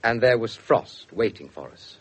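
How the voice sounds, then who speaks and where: An actor playing an English police officer, narrating a radio drama in a measured way. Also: male voice, on the low side, animated, a crowd in the background, close to an everyday voice. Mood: measured narration.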